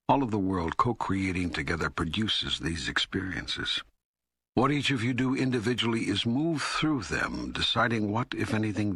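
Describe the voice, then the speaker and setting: A man voicing God as a calm, authoritative teacher in an audiobook, raspy, deliberate and solemn. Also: on the low side, no echo, exaggerated voice. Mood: solemn, explaining. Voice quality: husky, raspy. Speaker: audiobook narrator. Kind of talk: scripted audiobook dialogue reading.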